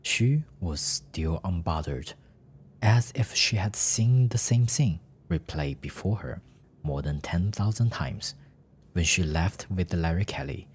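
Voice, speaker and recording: A man's voice: sits low, darkish tone, a little hiss or hum. A man narrating a scripted, melodramatic story.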